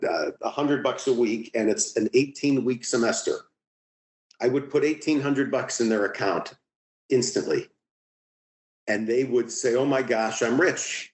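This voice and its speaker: A middle-aged man giving casual, emphatic advice in a conversational interview style, as a podcast guest. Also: medium pitch. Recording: roomy, echoing sound.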